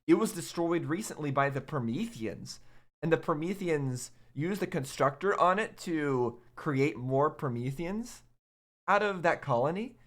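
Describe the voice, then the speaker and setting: A man's voice: fairly high pitch, animated, measured pace. A man giving casual, off-the-cuff reaction commentary as host, thinking aloud in a rambling way and repeating himself.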